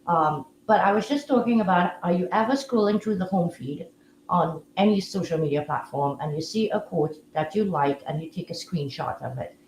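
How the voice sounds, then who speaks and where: This woman hosts a casual live audio room with a somewhat low voice. She talks in a relaxed, musing way and fills her pauses with ums. The sound is roomy and echoey, with faint ambience behind her. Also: a little hiss or hum. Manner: relaxed, musing, with frequent fillers. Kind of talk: casual live-stream chat.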